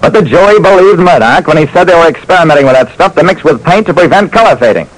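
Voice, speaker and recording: A man's voice: a little high, expressive. A man playing a hard-boiled private detective, narrating his cases dramatically in an old-time radio show. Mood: weary, blunt. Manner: dramatic, deliberate. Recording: noisy old radio recording.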